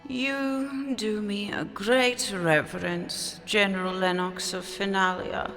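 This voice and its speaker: A woman's voice: medium pitch, fairly even intonation. A woman playing an imperious, amused pirate queen in a fantasy audio drama, speaking in grand, formal phrases. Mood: stately. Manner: slow, grandiose, formal speech. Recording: long reverb hall, loud music behind. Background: music.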